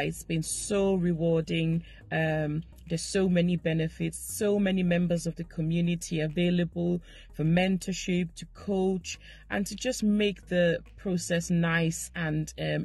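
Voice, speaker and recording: A woman from Nigeria giving a measured personal testimonial, pausing with hesitations. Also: on the low side, little pitch movement, vocal fry, a little mellow, dry recording. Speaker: healthcare professional.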